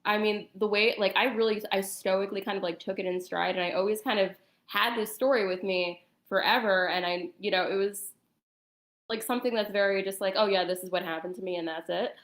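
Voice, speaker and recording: A woman of medium pitch talks as a show guest in a casual storytelling style. Her phrasing is informal and a little hesitant. The room echoes clearly around her voice. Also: steady tone, fairly quick.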